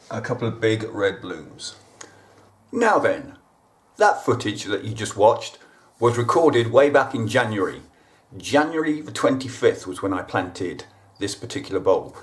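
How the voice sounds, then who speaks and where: A man, hosting a casual gardening how-to, chatting plainly and talking straight to his viewers at home. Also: medium pitch. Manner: chatty, plain-spoken, talks to viewers directly. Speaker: gardening channel host. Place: indoors at home, kitchen counter.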